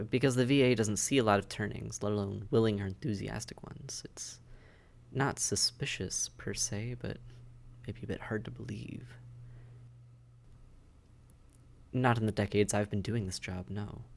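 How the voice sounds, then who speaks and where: A man's voice: lowish voice, moderate pace, gravelly. A man playing a weary vampire intake officer in an audio roleplay, matter-of-fact and bureaucratic, with dry hesitation, speaking straight to the listener. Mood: hesitant, skeptical. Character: weary, businesslike male vampire intake officer mentoring newcomers. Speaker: medical examiner and caseworker.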